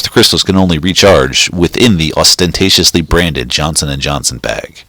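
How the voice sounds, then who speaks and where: A man reading a short story aloud in a steady, measured way, with the odd small slip. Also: deep voice, dry recording. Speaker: reader.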